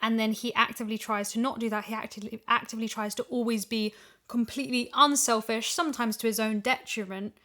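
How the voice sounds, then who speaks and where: A woman's voice: on the high side, fairly even intonation, quick pace, a bit bright. A woman in a casual podcast discussion, reasoning through her points conversationally. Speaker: podcast guest, audio drama voice actor.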